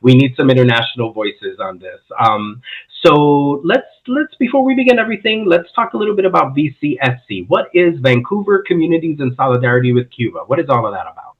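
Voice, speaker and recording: Male podcast host, friendly and eager, mid pitch, slightly muffled sound. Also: sing-song, brisk. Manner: enthusiastic, friendly. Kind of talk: casual interview. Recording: slightly dull lo-fi audio.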